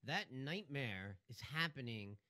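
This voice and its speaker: A man's voice: medium pitch, lively intonation, slow pace, sharp tone. A man with a Philadelphia accent, co-hosting a casual radio show about real estate and telling wry stories. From Philadelphia area, US. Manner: storytelling, wry, joking.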